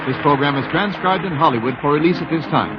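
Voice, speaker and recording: A man's voice: fairly high pitch, fast, noisy background. A man reading a scripted sign-off as an announcer in vintage radio style. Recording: dull vintage radio, loud music.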